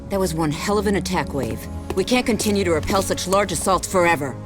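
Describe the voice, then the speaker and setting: A man plays a stern, commanding military leader in a video game. The delivery is serious and businesslike, with clear music underneath. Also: sits high, quick pace, lightly acted. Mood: grave briefing. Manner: authoritative, serious.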